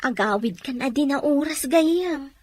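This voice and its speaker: An actress with an Ilocano accent playing a sympathetic friend and fellow overseas worker in a radio drama. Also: female voice, high voice, animated, a little slow, no room sound.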